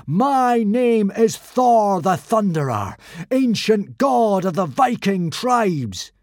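A man voicing a boy who poses as an ancient thunder god, booming solemn commands. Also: sing-song, slow, a little mellow, dry recording, clean studio sound, full character voice.

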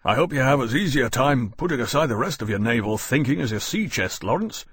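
A man voicing a domineering senior military commander in an audiobook, speaking in a sharp, curt, authoritative way. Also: medium pitch, expressive, fast talker, husky, no room sound, clean studio sound. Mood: stern.

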